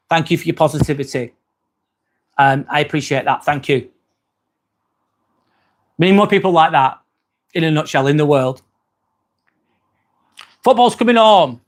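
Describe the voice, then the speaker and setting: Chatty Manchester-accented man, slightly high voice. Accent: northern English, near Manchester. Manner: chatty, friendly, frequent "um" fillers. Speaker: livestream host. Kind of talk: casual livestream chat reading comments.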